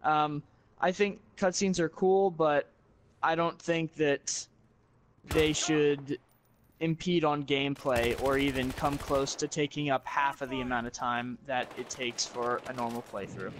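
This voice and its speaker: A man with a voice a little higher than average talks casually about video games. He is opinionated and blunt, stating his views plainly as a guest would. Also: steady tone, a little slow, a little hiss or hum.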